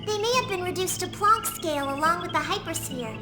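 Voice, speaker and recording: A woman voicing a gentle, calm, selfless synthetic girl in a video game cutscene. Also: very high-pitched, fairly quick, over music. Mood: matter-of-fact.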